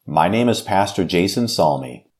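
An American man speaking as a pastor in a measured, solemn and formal devotional style. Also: deep voice, animated, darkish tone. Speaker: pastor and podcast host. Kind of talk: devotional scripture reading, short sermon and prayer.

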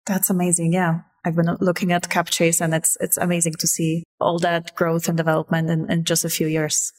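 A woman hosting a business podcast, delivering a scripted intro and interview questions in a polished, measured way. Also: fairly low pitch, monotone, a little mellow. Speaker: podcast host.

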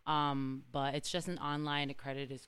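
A woman's voice: rumbling low, level tone, normal speed, a bit bright, smooth. A young woman, an herbalist in training, chatting easily as a podcast guest, with lots of likes and ums. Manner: easygoing, chatty, many fillers. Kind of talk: casual interview.

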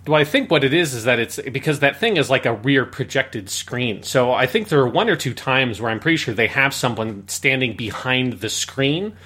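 A man's voice: medium pitch, animated, moderate pace, few pauses, bright, forceful tone, husky. A man hosting a casual podcast discussion, thinking aloud in a conversational way. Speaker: podcast host.

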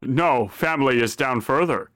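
A man voicing a small fish-folk boy with an oddly deep voice and innocent, childlike manner. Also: moderate pace, fairly bright tone, no room sound, clean studio sound, close to an everyday voice.

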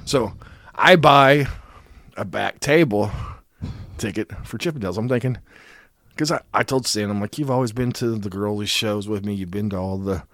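A man's voice: on the low side, normal speed, dry recording, clean studio sound. A middle-aged man co-hosting a casual chat, telling profane, jokey stories and throwing himself into the voices of the people he quotes. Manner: animated, over-the-top storyteller with big voiced quotes. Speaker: podcast co-host.

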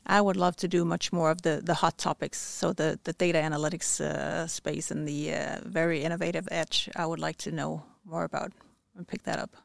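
A woman guest on a company podcast, speaking casually and thinking aloud, often restarting her sentences. Her voice has a gravelly fry to it. The sound is close and dry, with no room echo. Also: deep voice, steady tone, normal speed, few pauses. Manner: thoughtful, with frequent restarts. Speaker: guest, company department head.

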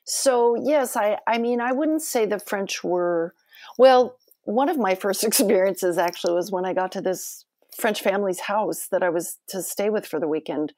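A woman with a soft voice, a guest telling animated stories in a casual interview. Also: mid-range voice, normal speed, dry recording.

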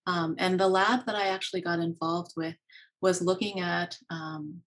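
Woman researcher, low husky voice, earnest and quick, laughs lightly as she talks. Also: fairly even intonation, fairly bright tone. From Maryland, US. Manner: earnest, fast, with small laughs and fillers. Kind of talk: conversational interview.

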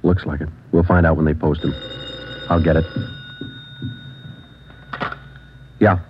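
An actor playing a terse, steady police sergeant who narrates a 1950s radio crime drama in a clipped, matter-of-fact way. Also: male voice, a bass voice, brisk, noisy background. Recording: muffled old radio broadcast with music.

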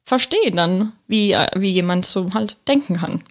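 A woman's voice: medium pitch, animated, normal speed. A woman with a Palatinate German accent, co-hosting a casual podcast about the Bible and thinking aloud with fillers and restarts. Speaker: podcast co-host.

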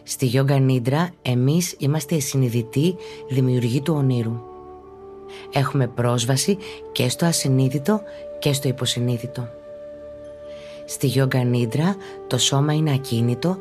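A woman calmly narrates a guided relaxation practice as a teacher, in a measured, instructional way. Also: very deep voice, music in the background. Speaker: yoga and meditation teacher, podcast host.

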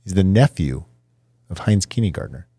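A man co-hosting a podcast, joking and trading quick banter in casual chat. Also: sits low, lively intonation, dark tone, breathy edge, no echo, clean studio sound. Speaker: podcast co-host.

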